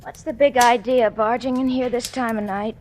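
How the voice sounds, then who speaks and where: An actress playing a cold, manipulative femme fatale in a 1940s radio drama. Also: female voice, a little high, fairly even intonation, moderate pace, darkish tone, soft background music, lightly acted. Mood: cold, impatient. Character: cold, scheming female femme fatale, sweet when manipulating. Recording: noisy, clicky old radio recording.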